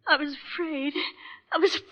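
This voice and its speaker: Nervous fragile girl, high bright voice, faltering, old muffled radio melodrama. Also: steady tone, brisk. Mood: shaken, afraid. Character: anxious, fragile young woman, distraught and protective.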